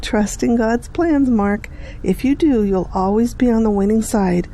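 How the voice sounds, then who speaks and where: A woman's voice: on the high side, measured pace, dark tone, slight background noise. An actress playing a devout middle-aged mother, earnest and instructive, in an audio drama. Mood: earnest, tender. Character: devout, gently earnest mother counselling her son.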